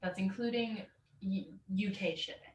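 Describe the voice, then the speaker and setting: A woman speaking quietly and matter-of-factly from off camera while her partner hosts a stream. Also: mid-range voice, normal speed. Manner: quiet, matter-of-fact, speaking from across the room. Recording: distant voice across a room.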